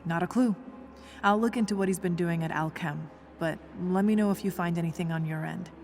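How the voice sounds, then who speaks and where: Woman as low-voiced young scientist, dry and composed. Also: fairly quick, a little mellow, soft background music, lightly acted. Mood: dry, troubled. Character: young woman scientist ally, tough, smart, dryly composed. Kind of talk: video game voice acting, naturalistic dialogue.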